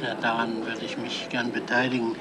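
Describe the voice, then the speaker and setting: An actor playing a polite but stingy, grumbling suitor, in a 1970s German radio crime comedy. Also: male voice, mid-range voice, completely flat delivery, normal speed, over a noisy crowd, close to an everyday voice. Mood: haggling. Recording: 1977 radio play, street noise.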